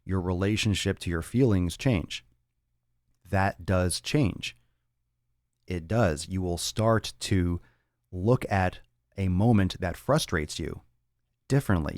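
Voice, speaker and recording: Fitness podcast host, male, very low voice, blunt and profane, dry close mic. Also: darkish tone, no room sound. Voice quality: raspy on some days. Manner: blunt and profane, rambling with restarts. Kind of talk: casual solo talk answering listener chat.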